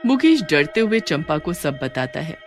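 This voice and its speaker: A woman's voice: low-pitched, very animated, moderate pace, faint music behind. A woman giving a dramatic, scripted narration of a cartoon story.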